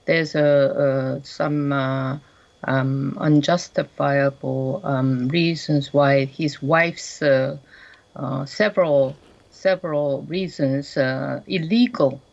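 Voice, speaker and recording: A very low-pitched woman from South Korea speaks as a panel guest, slow and halting with many pauses. Her voice has a gravelly edge and comes through a dull, lo-fi remote link. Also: steady tone, darkish tone. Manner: slow, hesitant, heavy with fillers. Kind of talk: panel discussion commentary.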